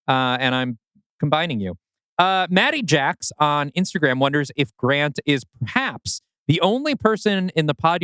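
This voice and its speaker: A man hosts a podcast in a relaxed conversational style. His mid-pitched voice is recorded dry, with little room around it. He sounds playful and informal as he goes through listener messages. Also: smooth, no room sound. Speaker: podcast co-host. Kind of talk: casual chat, reading out listener predictions.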